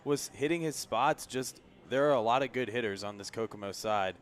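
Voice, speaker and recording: A man with a medium-pitched voice provides color commentary on a baseball radio broadcast. He explains the game in a conversational way, as an analyst beside the main announcer. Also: normal speed, slight background noise.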